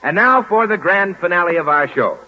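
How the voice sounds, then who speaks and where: A man's voice: high voice, lively intonation, a little fast. An American man announcing formally to an audience in 1940s radio style. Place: auditorium stage. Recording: muffled, hissy 1940s radio broadcast.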